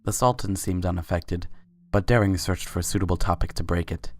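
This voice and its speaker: A man's voice: low voice, fairly quick, a little mellow, faint music behind. A man reading scripted story narration in a dramatic reading of fan fiction.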